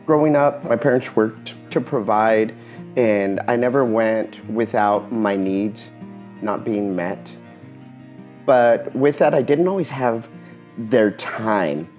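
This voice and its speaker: A man giving a personal talk in an earnest, reflective way, pausing often between phrases. Spanish is his first language. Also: lowish voice, a little mellow, highs cut off, faint music behind. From Eastern Washington, USA.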